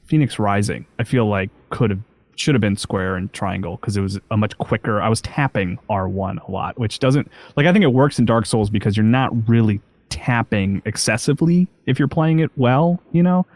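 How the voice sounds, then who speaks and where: A man chatting on a podcast, halting and restarting his points about game controls. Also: fairly low pitch, darkish tone, no echo. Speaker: podcast co-host. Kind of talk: casual chat.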